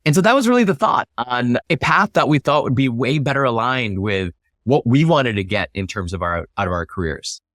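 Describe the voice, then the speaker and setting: A man's voice: mid-range voice, lively intonation, brisk, fairly bright tone, no echo, studio quality. A man speaking as an interview guest, explaining his thinking in an emphatic, conversational way with rhetorical questions. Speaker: interview guest, startup founder.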